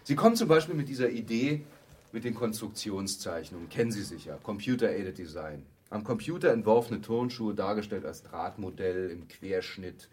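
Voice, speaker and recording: A man's voice: lowish voice, expressive, moderate pace, a little mellow, husky. An actor playing a glib, self-assured advertising man who explains matter-of-factly and asks rhetorical questions in a radio play. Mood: matter-of-fact, knowing. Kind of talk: German radio play, conversational monologue acting.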